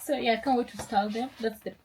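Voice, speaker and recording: A woman's voice: on the high side, fairly even intonation, fast talker, darkish tone, slight background noise, rough recording. Chatty young woman vlogging. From Kenya. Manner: chatty and upbeat, rambling with restarts. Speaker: lifestyle vlogger. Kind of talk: casual sit-down vlog chat.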